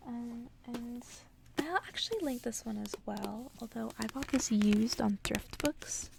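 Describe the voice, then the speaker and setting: A woman ASMR host whispering softly and close to the microphone in a breathy voice, as if reading bedtime stories aloud. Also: on the high side, measured pace, noisy background. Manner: whispering, soft-spoken.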